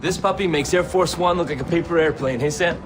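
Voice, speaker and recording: An actor playing an eager, chatty young tech whiz in a game cutscene, casual and slangy. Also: male voice, fairly high pitch, fast, over music, lightly acted. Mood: excited, proud. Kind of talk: dramatic game cutscene acting.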